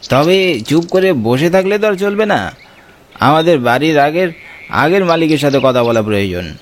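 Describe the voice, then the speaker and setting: A man's voice: on the high side, sing-song, normal speed, a little hiss or hum. A man voicing a concerned, practical uncle in a horror cartoon. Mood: serious. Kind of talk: cartoon horror drama dialogue.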